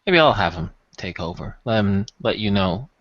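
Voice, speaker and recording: Tabletop game part: polite, smooth-talking space traveller acted by a man, lively pitch, careful wording, slightly muffled. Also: normal speed, no echo. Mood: businesslike, measured. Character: Xavier, polite smooth-talking spacefaring customer seeking contraband.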